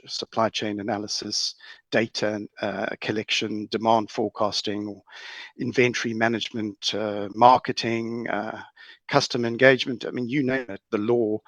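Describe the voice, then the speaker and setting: A middle-aged man with a South African accent answering as a panel guest in a measured, hesitant, formal way. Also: on the low side, fairly bright tone, dry recording. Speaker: corporate lawyer, panel guest. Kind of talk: considered panel discussion answers.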